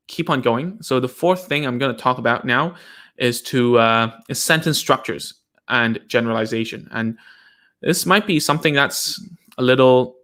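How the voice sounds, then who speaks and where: A man's voice: medium pitch, fairly bright tone. A man, a native Cantonese speaker, giving a casual live lesson to parents and therapists, checking in with "okay?" and "right?" after almost every point.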